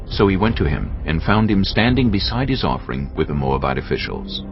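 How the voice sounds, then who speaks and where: Deep, creaky male Bible narrator. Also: big pitch swings, fairly quick. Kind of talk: scripted dramatised audio Bible narration. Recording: dull audio under loud music.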